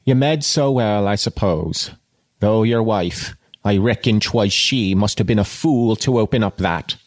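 An ancient, infirm country great-aunt from a classic storybook, read by a man. She is blunt and grim, with rustic turns of phrase. The voice is fairly low and dry, over faint music. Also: measured pace, dry recording, close to an everyday voice. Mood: grim, scolding. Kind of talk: storybook character reading.

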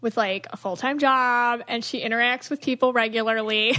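A woman co-hosting a podcast, chatting casually with many fillers and quick laughs. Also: fairly high pitch, fairly even intonation, bright, forceful tone. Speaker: podcast co-host. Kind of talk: casual chat.

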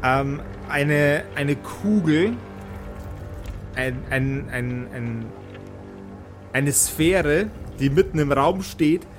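Higher-voiced game master with music behind. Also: male voice. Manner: halting, repeats words while improvising. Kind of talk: narrating a tabletop role-playing game.

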